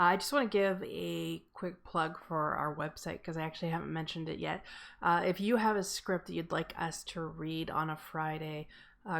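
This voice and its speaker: A woman's voice: lowish voice, vocal fry. A woman hosting a live script table read in a casual, chatty, informal way.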